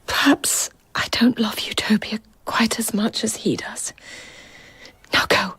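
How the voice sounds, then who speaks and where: An actress playing a resolute woman secretly helping someone escape, giving urgent orders in a breathy whisper in an audio drama. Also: fairly high pitch, fairly even intonation, slow pace, bright, forceful tone, no room sound, full character voice. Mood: hushed, resolute.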